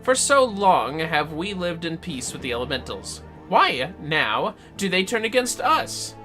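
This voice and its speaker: A man's voice: fairly high pitch, very animated, unhurried, bright, forceful tone, heavily acted. A man voicing a solemn, earnest forest mage from a fantasy game, reading dialogue aloud in a formal, archaic, theatrical manner. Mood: solemn, grave. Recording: music behind the voice.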